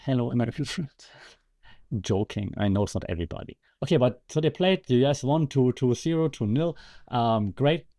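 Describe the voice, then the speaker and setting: A man's voice: mid-range voice, expressive, brisk, mellow. A man hosting a podcast with casual, conversational commentary and wry, sarcastic asides. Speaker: podcast host and media lecturer.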